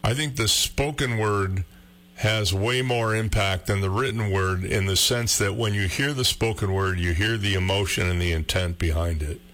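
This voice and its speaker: Homem de voz grave de barítono, descontraído. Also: male voice, normal speed, a bit bright. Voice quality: deep baritone. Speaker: radio host. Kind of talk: casual conversation.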